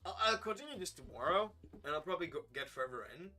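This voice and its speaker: A streamer who is hoarse and somewhat sick, talking casually over a game and swearing freely. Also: mid-range voice, lively intonation, quick pace, pushed and bright, faint music behind.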